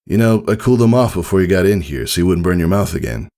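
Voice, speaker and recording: A man voicing a warm, doting, gently teasing pony father in a fan fiction audiobook. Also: low-pitched, expressive, fast talker, pitched above the performer's own voice. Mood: fond, teasing.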